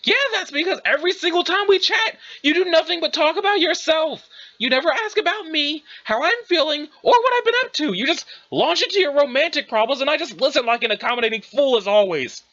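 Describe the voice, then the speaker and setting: A woman playing a fed-up, sharp-tongued young friend in an audio drama, speaking with biting, indignant emphasis. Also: fairly high pitch, expressive, fast talker, few pauses, muffled recording. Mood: bitter, accusing. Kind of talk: dramatized dialogue reading.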